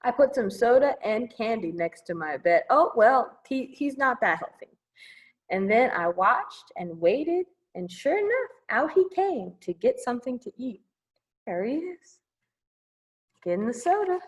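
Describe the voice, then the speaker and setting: Lively, high-voiced woman reading to children. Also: a little slow. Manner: playful, exclamatory, commenting as she reads. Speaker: children's librarian storytime host. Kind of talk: animated children's read-aloud with casual asides.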